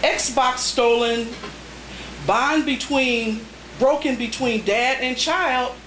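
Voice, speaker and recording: A middle-aged woman reading poetry live, with deliberate, dramatic phrasing and pauses. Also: sits high, recorded from a distance. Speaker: poet, featured reader. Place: indoor cafe reading. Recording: noisy, echoey room recorded from afar.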